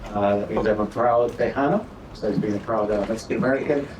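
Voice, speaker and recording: A middle-aged man with a Minnesota accent, speaking casually to an audience at an awards event, halting with frequent "uh" and "right?". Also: fairly low pitch, background noise. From Texas, raised in Minnesota. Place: event hall. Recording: echoey room, voice from far off.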